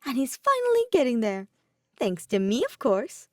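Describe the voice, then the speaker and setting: A woman voicing a cocky, mischievous teenage boy who talks loud and proud in a teasing way, in a fan fiction audiobook. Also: a little high, wildly swooping pitch, moderate pace, no echo, clean studio sound, voice pushed up. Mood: smug, playful. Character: cocky, mischievous teenage boy, a self-styled supreme leader.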